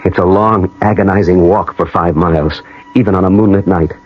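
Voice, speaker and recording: A man acting in a 1980 radio drama as a brooding man on a pilgrimage, telling it in a measured, atmospheric first person. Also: sits low, steady tone, noisy background, natural voice. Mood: brooding, suspenseful. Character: brooding American man on an ancestral pilgrimage, narrating. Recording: dull old radio broadcast with music.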